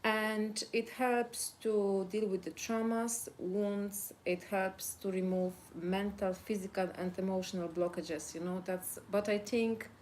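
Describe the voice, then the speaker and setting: A woman's voice: mid-range voice, steady tone, unhurried, few pauses, darkish tone, a little hiss or hum. A woman therapist explaining her work as a guest in a casual chat, enthusiastic and saying "you know" a lot.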